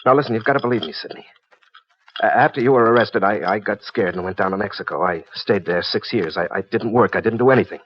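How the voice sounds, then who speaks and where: Actor as nervous, stammering man, low voice. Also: fast, close to an everyday voice. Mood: anxious pleading. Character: frightened, pleading, mild male former accomplice turned bank cashier. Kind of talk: 1950s radio crime drama acting. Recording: noisy, dull old radio recording.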